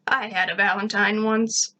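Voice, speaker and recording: A grim schoolteacher in a cartoon-style story, read by a woman. She speaks curtly and with weary authority, at a middling pitch, as if every announcement were a burden. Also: steady tone, normal speed, pushed and bright, lightly acted. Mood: dour. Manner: curt, dour, authoritative. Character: grim, bitter female schoolteacher, curt and weary.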